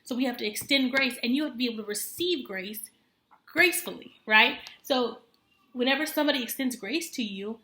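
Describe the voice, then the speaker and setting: Bright-voiced woman in her thirties, echoey room. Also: fairly high pitch, a little fast. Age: 30s. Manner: earnest, chatty, many fillers and self-corrections. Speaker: homemaker vlogger. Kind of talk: casual talk to camera.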